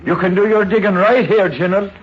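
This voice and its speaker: An actor playing a sly, self-assured, scruffy Irish water diviner with a folksy brogue in a 1950s radio drama. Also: male voice, high voice, steady tone, fast talker, natural voice. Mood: sly, confident. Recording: muffled vintage radio recording, faint noise.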